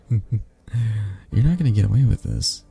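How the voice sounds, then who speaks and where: A man playing a possessive mafia boss in a romantic ASMR audio roleplay, speaking haltingly with sighs and pointed pauses in a low, breathy, husky voice. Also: lively intonation, warm tone, soft background music, exaggerated voice. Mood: mocking contempt. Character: possessive, menacing young mafia boss, softening into awkward tenderness.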